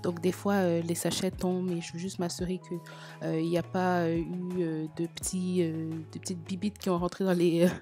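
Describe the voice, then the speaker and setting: Quebec French woman, hushed voice-over, faint music behind. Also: flat delivery, moderate pace. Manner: soft, lower and quieter. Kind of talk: quiet voice-over narration.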